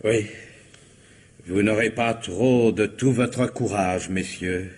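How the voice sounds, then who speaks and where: An actor playing a pompous, sentimental police commissioner in a French radio comedy-mystery, speaking with deliberate self-importance. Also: male voice, fairly low pitch, a little mellow, a little hiss or hum. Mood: mournfully grave.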